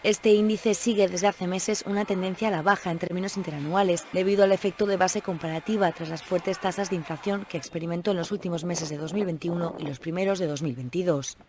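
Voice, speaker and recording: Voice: medium pitch, brisk, few pauses, a little hiss or hum. Formal news report voice-over. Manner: even, formal read.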